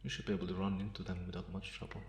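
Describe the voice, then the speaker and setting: A man playing a calm, teasing half-vampire in an ASMR roleplay, pausing often and sounding softly amused and restrained. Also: deep voice. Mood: cool, detached. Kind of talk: slow ASMR roleplay monologue. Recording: roomy echo, faint background noise.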